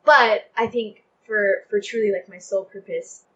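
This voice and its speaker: Young woman, mid-pitched voice, effusive and emphatic, casual interview chat, faint room noise behind. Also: steady tone, normal speed, fairly bright tone, highs cut off. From Texas, United States. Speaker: playwright guest.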